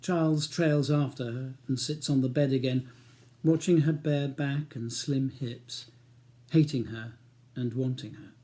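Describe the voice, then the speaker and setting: A man's voice: mid-range voice, steady tone, measured pace, dark tone. A man with a British accent reading his own novel as a scripted audiobook narration.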